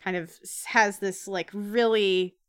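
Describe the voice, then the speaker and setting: A woman's voice: medium pitch, steady tone, unhurried, fairly bright tone, clear, resonant tone. A woman co-hosting a podcast in casual conversation, recapping a comic with teasing remarks and read-out dialogue. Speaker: podcast host.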